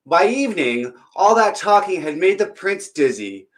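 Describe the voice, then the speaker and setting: A man hosting a children's storytime, chatting to viewers and reading aloud in an expressive, theatrical way. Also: high voice, moderate pace, slight background noise. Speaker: children's storytime host and reader. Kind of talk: chatty introduction and animated picture-book reading aloud.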